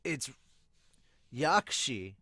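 A man hosts a casual manga review in an informal, exclamatory way. His voice sits at a middle pitch and he often breaks off into asides. The sound is dry, recorded at a desk in a room at home. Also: sing-song, extremely slow, pushed and bright, no room sound. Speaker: manga reviewer and podcast host. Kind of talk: casual reaction commentary with improvised manga dubbing. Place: small furnished room at home.

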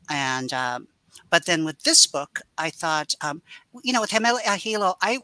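A woman's voice: low voice, pushed and bright, no room sound, low-quality recording. A middle-aged woman author speaking in a home room over a video call, thoughtful and rambling with many fillers and restarts. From United States. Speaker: author guest. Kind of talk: casual video-call interview.

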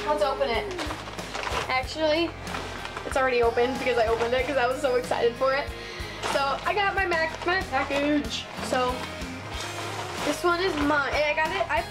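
A young woman with a Southern Ohio twang, chatting casually to her webcam in a small bedroom, bubbly and gushing, full of ums and likes. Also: high-pitched. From Midwest United States. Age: young adult. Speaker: vlogger. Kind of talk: casual chat to camera. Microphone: built-in webcam mic.

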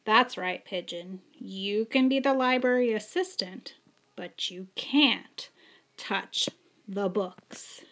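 A middle-aged woman reading a children's story aloud in an expressive, measured and emphatic way. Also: medium pitch. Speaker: school librarian and storyteller.

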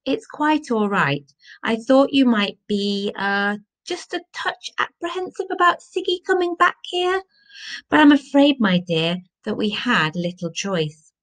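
A woman's voice: fairly high pitch, sing-song, measured pace, a bit bright, pitched above the performer's own voice, poor audio. A woman voicing a genteel, unflappable older married woman with a polite, rueful manner in a story. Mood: apologetic.

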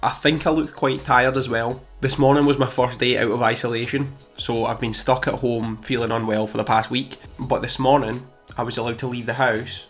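Young British man, slightly croaky, methodical finance vlogger, faint music bed under him. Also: mid-range voice, moderate pace. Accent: British English. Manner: steady, methodical reading of figures. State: slightly croaky voice after illness. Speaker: personal finance YouTuber. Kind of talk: scripted portfolio update to camera. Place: small home room, indoors. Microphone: studio mic in front of him.

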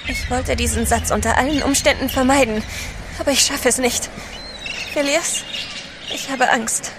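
An actress playing an anxious, tender lady on an expedition in a radio play, gentle and apprehensive. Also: sits high, fairly even intonation, moderate pace, over music. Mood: fearful. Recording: noisy ship ambience effects.

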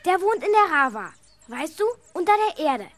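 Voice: high-pitched, sing-song, moderate pace, a bit bright, soft background music. An actor playing a bossy, boastful older schoolboy in a children's radio play, goading in manner. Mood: bossy, scheming. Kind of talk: 1970s German children's radio play acting.

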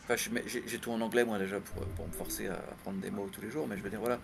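Fast-talking man, noisy game-stream mix. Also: lowish voice, steady tone, creaky. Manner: quick, rambling, self-correcting. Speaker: co-host commenting on gameplay. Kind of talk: casual gaming chat.

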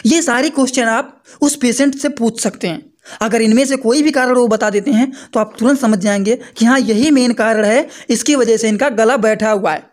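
A man's voice: very high voice, normal speed. A young man explaining a medical topic to students in a lecture, repeating points and checking with rhetorical questions over his slides. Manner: repetitive, asks listeners rhetorical checks. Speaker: pharmacy teacher.

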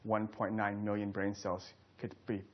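Guy in his 30s, doctor interview, lowish voice, bit dull sound. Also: monotone, unhurried, background noise. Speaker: neurologist. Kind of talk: interview explanation. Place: small furnished indoor room.

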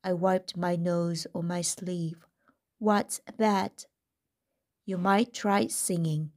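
A woman reading a first-person story aloud in a gentle, deliberate manner. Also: on the low side, steady tone.

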